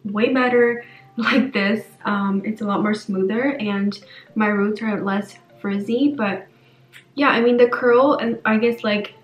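A woman's voice: mid-range voice, fairly even intonation, a little slow, echoey room, lo-fi sound. A young woman from New York chatting candidly to camera in her bedroom, casual and full of fillers. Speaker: lifestyle vlogger. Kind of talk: casual vlog chat to camera. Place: bedroom indoors.

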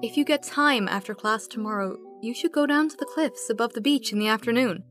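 A woman plays a young college student in a radio drama. She is high and bright in voice, with a teasing, enthusiastic way of talking. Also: fairly quick, faint music behind, natural voice. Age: young adult.

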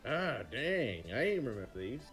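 A man voicing a blunt, easygoing crocodile detective, chatting casually and haltingly in character. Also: mid-range voice, big pitch swings, natural voice. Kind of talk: casual gaming chat in character. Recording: music behind the voice.

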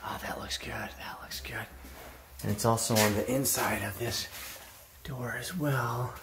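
American guy, 40s, slow easygoing vlog talk, excited about his place, super echoey empty room. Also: medium pitch. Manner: enthusiastic, admiring, informal. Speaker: vlogger giving a workshop tour. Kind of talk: casual handheld video tour. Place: mostly inside an empty unfinished workshop building. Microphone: phone at arm's length. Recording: echoey empty room, clear background noise.

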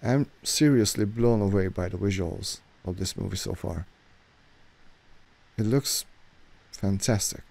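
A man's voice: fairly low pitch, measured pace, gravelly, pauses often. Hungarian-accented man reacting to a film. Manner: chatty, excited, self-correcting. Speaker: movie reaction host. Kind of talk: casual reaction commentary. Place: small dark home studio. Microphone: studio mic on boom arm, headphones.

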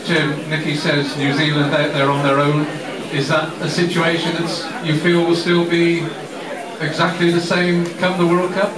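A man's voice: fairly high pitch, monotone, normal speed. A man interviewing two rugby players at a live event, chatty and joking. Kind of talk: live Q&A hosting. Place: bar with a crowd, indoors. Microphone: handheld mic, far camera. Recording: roomy bar, distant camera, loud crowd.

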